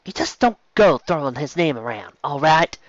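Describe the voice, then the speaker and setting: A man voicing a nervous shady male pony dealer with a put-on Cockney accent, talking in a wary hush. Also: a little high, big pitch swings, normal speed, no echo, highs cut off.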